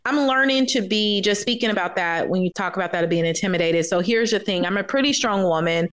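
A woman co-hosting a nursing podcast, speaking firmly and asking pointed questions in a casual debate. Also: medium pitch. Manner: firm, measured, questioning. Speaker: podcast co-host, nurse.

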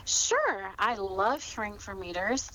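A woman's voice: mid-range voice, sing-song, takes it slowly, sharp tone, a little hiss or hum, rough recording. A middle-aged woman chatting casually as an interview guest, often repeating her words as she goes. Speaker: podcast guest, author.

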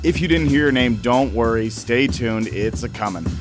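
A man's voice: mid-range voice, normal speed, music in the background. A man speaking as a podcast co-creator, giving a scripted thank-you announcement.

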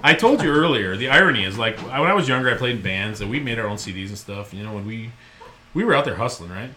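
A man's voice: lowish voice, expressive, fast talker, background noise. A middle-aged man hosting a podcast from home, chatting casually and rambling with self-mocking humor. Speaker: podcast host. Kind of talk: casual chat. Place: home recording room.

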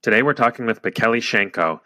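A man's voice: on the low side, expressive, quick pace, bright, forceful tone. A young man hosting a podcast, reading the show intro in an upbeat, polished way. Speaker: podcast host.

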